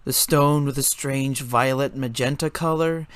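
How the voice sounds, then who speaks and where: A man's voice: mid-range voice, steady tone, measured pace, a bit bright, clean tone, dry recording. Man narrating a story, dry sound. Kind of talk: scripted dramatic story narration.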